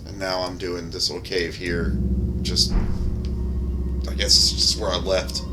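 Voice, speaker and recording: A man's voice: low-pitched, flat delivery, measured pace, noisy background. A man giving casual, rambling, explanatory gameplay commentary with some offhand swearing, as a gamer showing off his own mod. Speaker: game streamer and modder. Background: music.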